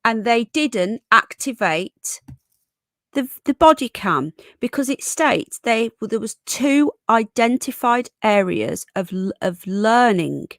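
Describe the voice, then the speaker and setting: A woman's voice: on the high side, lively intonation, slow, dry recording, studio quality. Congested British woman hosting a live stream. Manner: chatty, reads chat comments aloud and greets viewers. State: congested and croaky from a cold. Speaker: live stream host reading news. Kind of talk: casual live stream commentary.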